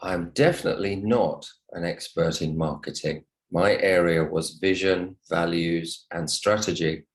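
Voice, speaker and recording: A man's voice: sits low, fairly even intonation, measured pace, few pauses. A man teaching English online, talking to his students in a polite, encouraging way. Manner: polite, encouraging, thanks listeners often. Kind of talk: online lesson talk to students. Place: online video call session.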